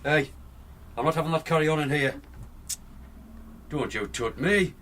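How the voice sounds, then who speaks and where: Bloke playing a grumpy ill dad from northern england, swears and tells people off, radio drama, bit of noise behind. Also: male voice, a little high, moderate pace, a bit bright, natural voice. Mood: irritated. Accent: Northern English. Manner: blunt, scolding, sweary. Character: gruff, sick, short-tempered working-class father. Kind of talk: 1990s radio drama acting.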